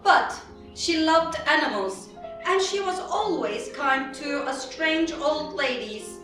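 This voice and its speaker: A woman narrator tells a scripted story at a slow, deliberate pace. Her voice is fairly high and theatrical. It rings through a very echoey hall over music. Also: expressive. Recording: very echoey hall, distant mic, music behind.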